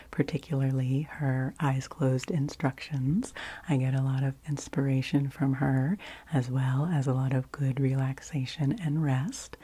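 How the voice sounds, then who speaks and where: A woman whispering softly in ASMR style, gently and patiently giving instructions and repeating phrases as a host. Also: rumbling low, dry recording. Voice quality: breathy whisper. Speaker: host of a relaxation ASMR show. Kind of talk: whispered ASMR, leading word games.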